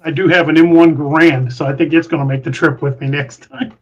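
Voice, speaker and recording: Give me a man with a twang and a slightly high voice, hosting a podcast and rambling through listener comments with lots of restarts. Dull, lo-fi recording. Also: steady tone, a little fast. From Missouri, USA. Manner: rambling, hesitant with many restarts. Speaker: podcast host. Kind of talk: casual live-stream chat reading comments.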